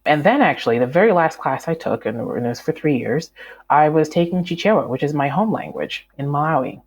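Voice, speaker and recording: A woman's voice: low-pitched, animated, fairly quick, darkish tone, slight background noise. A woman from Canada, a writer talking as an interview guest, thoughtful and full of fillers and restarts. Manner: reflective, many fillers and restarts. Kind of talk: conversational interview.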